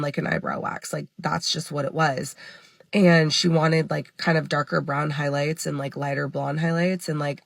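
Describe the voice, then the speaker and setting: A woman in her thirties tells her own story casually, her voice stuffed up and congested. Her tone is heavily gravelly with constant fry, and her pitch stays flat as she rambles along with "like" and "you know". The recording is dry, with no room sound. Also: no room sound. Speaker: former hairdresser sharing her story.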